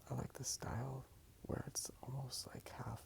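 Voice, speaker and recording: A man speaking softly in a casual, rambling ASMR style as he draws. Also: mid-range voice, little pitch movement, moderate pace, vocal fry. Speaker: ASMR video creator. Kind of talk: whispered ASMR rambling while drawing.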